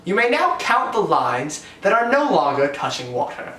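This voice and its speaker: Male instructional narrator, slightly high voice, animated pitch, scripted delivery, roomy sound. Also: normal speed. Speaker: presenter of a lab lesson.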